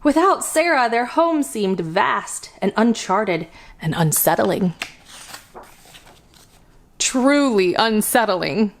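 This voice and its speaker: A woman hosting a children's storytime, reading a picture book aloud in an expressive, deliberate storytelling manner. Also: mid-range voice, slight background noise. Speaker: children's storytime host and reader.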